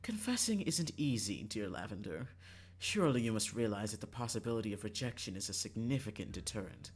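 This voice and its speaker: A man's voice: lowish voice, lively intonation, a little fast, slightly rough. A man putting on a coy, flirtatious dandy. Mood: teasing.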